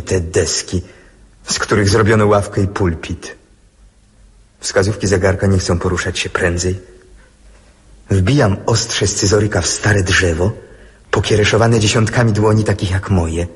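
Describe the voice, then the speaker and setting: An actor playing a restless, dreamy schoolboy in a radio drama, recorded with a muddy reverberant sound. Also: male voice, fairly low pitch, breathy edge, exaggerated voice. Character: restless schoolboy, impatient dreamer, eager and shy.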